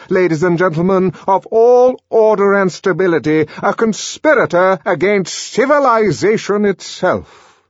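A man's voice: sits high, dry recording, muffled recording, voice pushed up, exaggerated voice. A man playing a pompous male official who condemns someone in a grand, declaiming voice.

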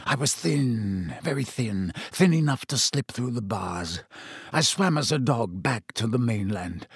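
A man reading an audiobook as a gaunt, embittered fugitive, croaky, harsh and intense. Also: mid-range voice, lively intonation, measured pace, dry recording, studio quality. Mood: haunted intensity. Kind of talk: audiobook character acting.

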